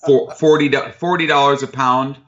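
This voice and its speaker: A man speaking as a chef in a casual interview, relaxed, talkative and frank. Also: mid-range voice, moderate pace. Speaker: chef who buys from foragers. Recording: dull lo-fi sound.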